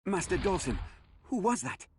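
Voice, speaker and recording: A man's voice: high voice, sing-song, normal speed, slight background noise. A man playing an anxious, earnest young yoga disciple in a video game, eager, unsure and deferential. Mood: unsure, respectful. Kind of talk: video game story cutscene acting.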